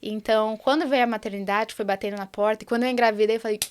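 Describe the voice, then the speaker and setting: A woman's voice: on the high side, steady tone, quick pace, smooth. A Brazilian woman in her thirties, speaking with a Brazilian Portuguese accent in an earnest, reflective way during a casual podcast chat. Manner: earnest and reflective, many tag questions. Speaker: guest, project founder.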